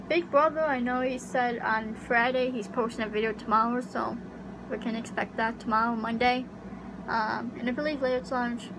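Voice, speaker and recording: A young woman vlogging in a casual chat, rambling and unsure, with lots of fillers, recorded close on a webcam in a small bedroom. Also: on the high side. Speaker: YouTuber. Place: small pink bedroom with air conditioner. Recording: home recording with audible AC hum.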